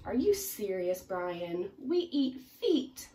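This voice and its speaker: A woman voicing an exasperated meat-loving piranha in a children's storybook, scolding with drawn-out emphatic punchlines. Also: medium pitch, very animated, dark tone. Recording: roomy, distant mic, faint noise.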